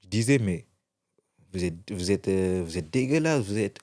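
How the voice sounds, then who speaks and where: Young french guy chatting about his past, lots of restarts, laid back. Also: low voice. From France. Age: young adult. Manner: relaxed, halting storytelling with many restarts. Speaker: guest. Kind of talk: casual storytelling interview.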